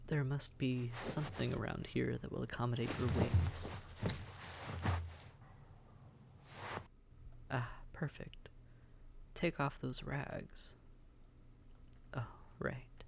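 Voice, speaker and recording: A man playing a suave, teasing demon prince of Hell in an intimate audio roleplay, with a gravelly, unhurried, coaxing delivery that often drops toward a whisper. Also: medium pitch. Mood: wry, gentle.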